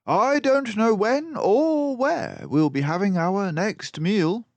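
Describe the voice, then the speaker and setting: A man's voice: high voice, expressive, takes it slowly, dry recording, pitched above the performer's own voice, exaggerated voice. A man voicing a clever, confident mouse detective from a storybook, with an emphatic, precise, lecturing manner. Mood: eager, bold. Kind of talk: storybook character voice.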